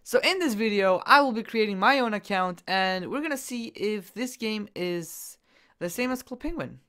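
A young man casually commenting while he plays a game on his computer, excitable and jokey, jumping from loud bursts to quiet mumbles. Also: sits high, moderate pace, clear, resonant tone. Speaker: gaming YouTuber.

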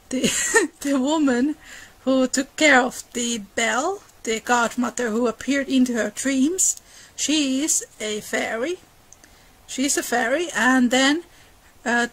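Finnish lady chatting through an old fairy tale, kinda high voice, laughs a lot. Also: a bit bright, low-quality recording. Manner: chatty, laughs often, restarts sentences. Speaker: art and mythology educator. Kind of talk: casual storytelling lecture.

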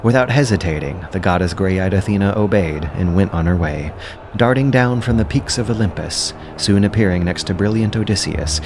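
A man narrating an epic audio drama in a measured, solemn way. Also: low voice, background noise. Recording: narration over loud music.

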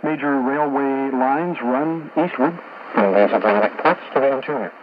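A man working as a radio host and journalist, reading scripted discussion in a measured, formal, informative way over shortwave radio. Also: medium pitch. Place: radio studio, heard over a shortwave receiver. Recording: muffled noisy shortwave radio broadcast.

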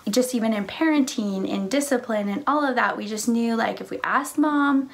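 A young woman with a mid-range voice and a noticeable gravelly edge. She talks easily and chattily, with frequent "like" and "right?". She is recorded on a couch in a living room through a camera mic a little way off. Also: on the high side, moderate pace. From Canada and the United States. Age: young adult. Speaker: church speaker. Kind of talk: casual conversation about faith.

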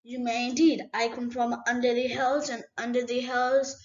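A woman voicing a small, clever male hobbit who speaks quickly and politely in riddles. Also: on the high side, echoey room, muffled recording, close to an everyday voice. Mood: polite, riddling. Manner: quick, flattering, riddling speech.

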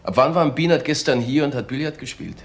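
An actor playing a sober, sceptical detective's assistant in a crime drama, terse and matter-of-fact. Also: male voice, medium pitch, animated, fast, natural voice. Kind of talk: German crime drama acting.

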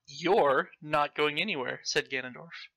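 A man with a very bright, forceful, fairly high voice reads a story aloud in a theatrical, mocking way. He drops in his own comic commentary, and the sound is close and dry. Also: a little slow, vocal fry, no room sound, poor audio. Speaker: host reading fan fiction aloud. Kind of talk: comedic dramatic read-aloud with commentary.

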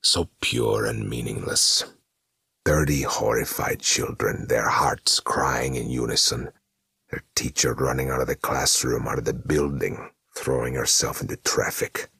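A man voicing a sinister male demon who feeds on despair, speaking slowly and scornfully in a whispery voice. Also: extremely low pitch, fairly even intonation, creaky, bright, forceful tone, breathy edge, dry recording, studio quality, full character voice. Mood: gloating. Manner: slow, cold and scornful.

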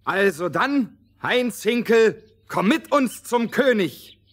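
Frank comradely adventurer, high pitch, slow questioning delivery, storybook drama. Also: male voice, animated, a bit bright, heavily acted. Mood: curious, frank. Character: frank, sceptical leader of fairy-tale companions. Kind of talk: children's fairy-tale audio play.

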